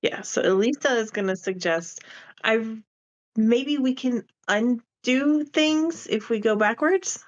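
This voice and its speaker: A woman's voice: on the high side, takes it slowly, dull audio. A woman playing a tabletop roleplaying game, talking casually as she suggests what her character will do.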